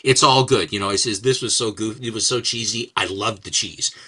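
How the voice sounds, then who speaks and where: An older man as an interview guest, talking in a rambling, halting way with many restarts and fillers. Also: on the low side, sharp tone, husky. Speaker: guest, comics writer and collector. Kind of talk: casual interview chat.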